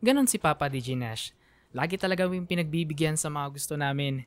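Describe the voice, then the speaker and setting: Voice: mid-range voice, brisk, no echo, natural voice. Narrator role, acted, grown daughter, Filipino accent, earnest reminiscence told to a DJ, close dry sound. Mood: fond, nostalgic. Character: grateful grown daughter recalling her father. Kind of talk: radio drama narration, reminiscing to a DJ.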